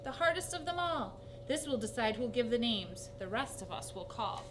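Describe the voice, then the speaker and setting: A woman acts out an honorable old mayor from a storybook. He proclaims in a high, ceremonious voice with formal care. The recording has clear noise and a hum. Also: moderate pace. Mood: solemn announcing. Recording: noisy recording with steady hum.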